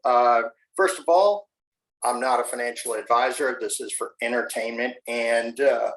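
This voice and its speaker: A man's voice: mid-range voice, moderate pace, a bit bright, low-quality recording. A man from the USA hosting a casual interview on his channel, friendly and upbeat, with lots of fillers. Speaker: YouTube channel host. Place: home in the mountains.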